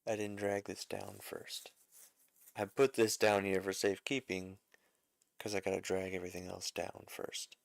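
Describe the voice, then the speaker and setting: A man's voice: deep voice, little pitch movement, normal speed, gravelly, no echo. A man giving a casual music arranging lesson, thinking aloud as he works, with frequent fillers and self-corrections.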